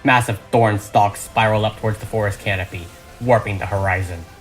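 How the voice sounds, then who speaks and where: A man's voice: on the low side, steady tone, a little fast, soft background music, rough recording. A man reading a fan audio drama script as a narrator, with emphatic, theatrical delivery.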